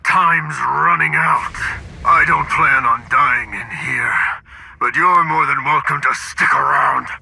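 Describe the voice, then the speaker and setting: Urgent man in danger, very gravelly, hard bright edge, game cutscene, background noise. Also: on the high side, slow pace, full character voice. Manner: urgent, forceful. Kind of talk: video game cutscene acting.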